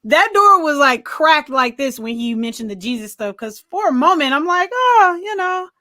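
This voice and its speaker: High-voiced American woman chatting about film. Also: big pitch swings, normal speed, bright, forceful tone, no echo, clean studio sound. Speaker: podcast co-host reviewing films. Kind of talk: casual chat, film discussion.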